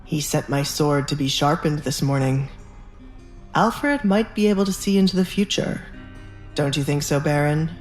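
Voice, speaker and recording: A man's voice: a little high, normal speed, lightly acted. Vengeful cold duke played by a male actor, commanding and mocking, echoey room, music underneath. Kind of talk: audio drama acting. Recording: echoey voice over background music.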